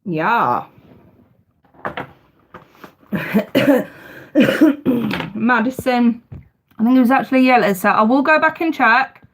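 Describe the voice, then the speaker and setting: A woman's voice: on the high side, lively intonation, slow pace, long pauses. A woman, casually hosting a live tarot stream, chatty and talking straight to her viewers, her voice bunged up with congestion. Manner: chatty, addresses viewers directly.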